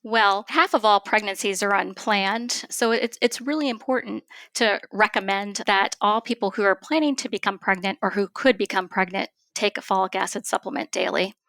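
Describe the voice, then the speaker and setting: Bright-voiced woman giving measured expert answers. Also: mid-range voice, dry recording. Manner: measured, formal, precise explanations. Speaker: expert guest, family physician. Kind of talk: explanatory interview answers.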